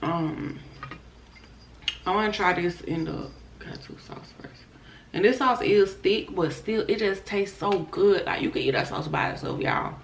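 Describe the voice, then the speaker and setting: A hoarse woman hosting an eating show, chatting casually and talking to viewers as y'all between bites. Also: mid-range voice, lively intonation, measured pace, a little hiss or hum. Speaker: mukbang host. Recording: echoey room.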